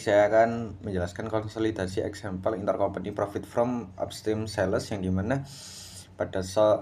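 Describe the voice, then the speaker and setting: A man's voice: fairly low pitch, a little mellow. A young adult man, explaining worked material as a student in a class presentation. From Indonesia.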